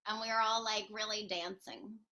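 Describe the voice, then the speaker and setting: A woman's voice: medium pitch, steady tone, moderate pace, sharp tone. A woman chatting casually and enthusiastically as she reminisces on a video call. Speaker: cast member on a reunion panel. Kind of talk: casual chat.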